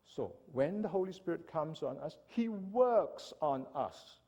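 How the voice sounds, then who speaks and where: A man from Singapore delivers an animated church seminar talk, often turning to his listeners with "right?". His voice sits a little high and is husky, and it swings up and down freely. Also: measured pace. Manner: animated lecturing, asks audience "right?". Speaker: speaker.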